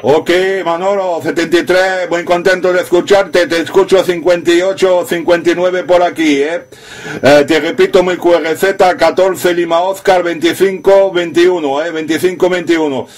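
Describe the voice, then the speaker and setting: A man's voice: high voice, fairly even intonation, normal speed. A man working as an amateur radio operator, talking in call signs and phonetic spelling during a contact.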